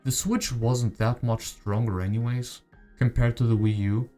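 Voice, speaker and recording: A man's voice: mid-range voice, fairly even intonation, unhurried, mellow. A man giving casual gaming commentary as a voice-over, conversational and opinionated, often posing rhetorical questions. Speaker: gaming video essayist. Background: background music.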